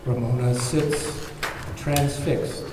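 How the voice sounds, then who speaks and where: A man's voice: mid-range voice, steady tone, slow pace, darkish tone, slight background noise. A man reading screenplay stage directions as scripted narration, recorded from a distance in an echoey room. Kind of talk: scripted narration at a table read.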